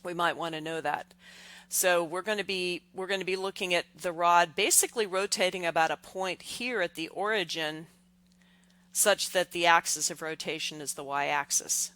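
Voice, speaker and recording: A woman explaining as an engineering instructor, step by step, in deliberate, halting phrases with repeated words. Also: lowish voice, pushed and bright, no room sound.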